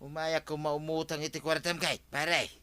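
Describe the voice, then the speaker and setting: Pestering gambler, acted by a man: highish, bright, whining, insistent audio drama delivery, dry sound. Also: little pitch movement, normal speed, smooth, dry recording. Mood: wheedling. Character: wheedling gambler pestering his friend for a loan. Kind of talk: Ilocano radio-style audio drama acting.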